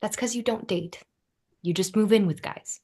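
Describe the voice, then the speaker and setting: A woman's voice: medium pitch, moderate pace, a little mellow, husky, natural voice. A woman playing a wry, exasperated young woman in a sitcom script read. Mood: defensive, wry.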